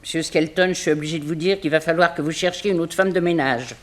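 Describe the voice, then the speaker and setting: An actress playing a plain-spoken, indignant, fearful housekeeper in a French radio drama from the 1960s. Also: female voice, low-pitched, fast, lightly acted. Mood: anxious, resolute. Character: fearful, grumbling housekeeper, complaining about her job. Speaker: actor. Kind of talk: 1960s French radio comedy-mystery acting.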